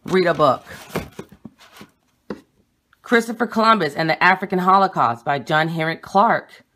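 A young woman vlogging at home with a phone camera, talking in a blunt, emphatic and quick way as she shows books. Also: lowish voice, expressive, pushed and bright, a little hiss or hum. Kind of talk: casual home vlog showing books. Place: small room at home, indoors. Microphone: phone camera held at arm's length.

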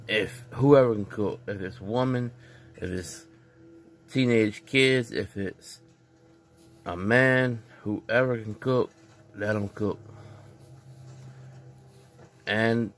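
Casting: man, lowish voice, earnest home vlogger, preachy and repetitive, phone-recorded in a living room. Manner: earnest, preachy, repeats himself. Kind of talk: casual opinion vlog.

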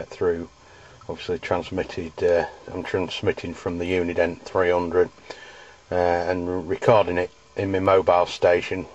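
An English man demonstrating hobby gear in a halting, hesitant way. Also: sits low, darkish tone, muffled recording. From United Kingdom. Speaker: CB radio enthusiast reviewing gear.

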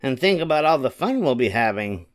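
A man puts on a vain, horrid young stepsister from a storybook. She taunts and sneers haughtily, in a raised pitch that swoops about, recorded very dry. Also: big pitch swings, a little fast, no room sound, close to an everyday voice. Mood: cruel teasing. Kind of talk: storybook character voice.

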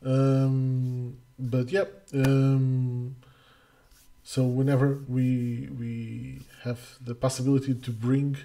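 A middle-aged man from Portugal, hosting a casual livestream Q&A as community manager, halting with many fillers and restarts. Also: medium pitch, monotone, creaky, warm tone. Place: home room, indoors. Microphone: headset.

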